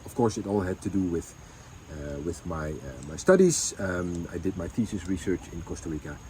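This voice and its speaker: A man's voice: sits low, a little fast, a little mellow, a little hiss or hum. A man telling his family's story in a casual chat, with many ums and restarts. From Netherlands. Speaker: vlogger.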